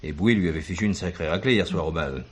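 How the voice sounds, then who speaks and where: An actor playing a wry, sceptical police investigator in a French radio drama, with a dry, teasing, probing manner. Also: male voice, sits low, fast talker, slight background noise, natural voice.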